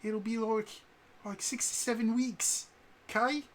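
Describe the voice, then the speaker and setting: A man's voice: very high voice, steady tone, takes it slowly, voice pushed up. A man voicing a giant man-eating fungus creature with an exaggerated New Zealand accent, politely hesitant and matter-of-fact about its menace. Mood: apologetic, casual. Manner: hesitant, casually polite while threatening.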